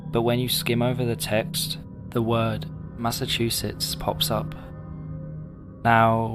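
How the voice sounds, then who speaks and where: A man narrating a scripted horror analysis video in a measured way, with pauses for effect. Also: on the low side. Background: music.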